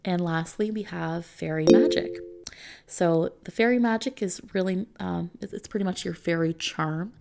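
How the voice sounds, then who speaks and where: A soft-spoken woman in an ASMR roleplay, gently and reassuringly explaining things like a service consultant, with breathy edges that drift toward a whisper. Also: lowish voice, moderate pace, heavy background noise. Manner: gentle, reassuring, checking in with the listener.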